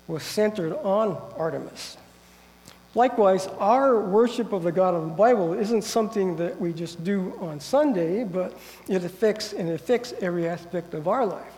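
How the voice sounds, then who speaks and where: A man's voice: high voice, dark tone. Nasal, congested man preaching. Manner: careful, earnest delivery with frequent throat clearing. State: stuffy nose from a head cold. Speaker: guest preacher. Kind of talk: sermon with Bible reading. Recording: long hall reverb.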